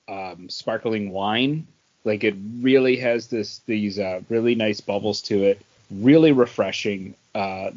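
A man's voice: mid-range voice, dull audio. A man co-hosting a podcast in a casual, conversational style. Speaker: comics podcast co-host. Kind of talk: casual chat.

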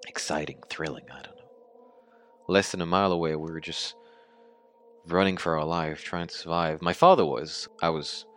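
An actor playing a haunted young Mexican man in his twenties, telling his tragic story in a halting, sweary, informal monologue for an audio drama. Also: sits low, a bit bright, soft background music. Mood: grave, haunted. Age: 20s.

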